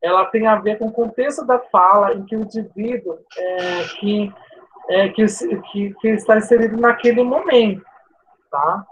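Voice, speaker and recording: A Portuguese teacher from São Paulo's coast, with its sibilant S, gives a chatty live online class full of tag questions and restarts. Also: female voice, mid-range voice, normal speed, lo-fi sound, a little hiss or hum. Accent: Brazilian Portuguese, coastal São Paulo. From São Paulo state coast, Brazil. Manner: informal, chatty, frequent "né?" tags and restarts.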